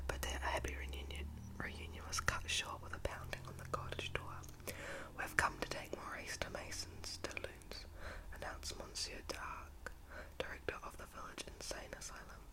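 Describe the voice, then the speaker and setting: Whispering woman reading a storybook, close up. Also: rough recording. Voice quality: breathy, unvoiced whisper. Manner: halting read with stumbles and repeats. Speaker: ASMR storyteller. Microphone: close-up microphone.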